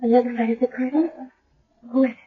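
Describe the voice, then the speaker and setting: An actress playing a timid, bewildered young woman with amnesia in a 1970s radio drama, speaking in a halting, hesitant way. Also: on the high side, steady tone, breathy edge. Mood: tentative. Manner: hesitant, halting, repeating words. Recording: dull lo-fi old radio broadcast.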